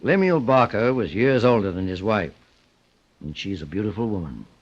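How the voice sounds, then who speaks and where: Shrewd radio detective, sweeping intonation. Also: male voice, fairly low pitch, expressive, breathy edge. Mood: stern, condemning. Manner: deliberate, formal, accusatory. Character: shrewd, calm, relentless male private detective. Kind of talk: old-time radio drama acting. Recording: muffled vintage radio recording.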